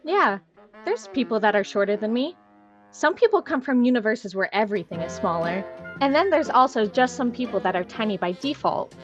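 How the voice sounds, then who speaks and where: A woman's voice: fairly high pitch, expressive, brisk, highs cut off, faint music behind, lightly acted. A woman playing a small, cheerful, earnest ten-year-old child in a fan-made comic dub.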